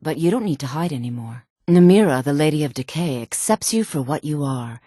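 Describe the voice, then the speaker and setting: Sultry sly video game woman, low smooth voice, seductive n quietly menacing, really dry sound. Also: animated, no echo. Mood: reverent, conspiratorial. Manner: smooth, deliberate, seductive delivery. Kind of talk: video game character dialogue.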